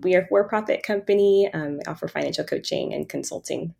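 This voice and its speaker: A woman guest talks in a conversational interview style. Her voice sits a little low and has a clear creak of vocal fry in it. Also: a little mellow. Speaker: podcast guest, financial coach in philanthropy.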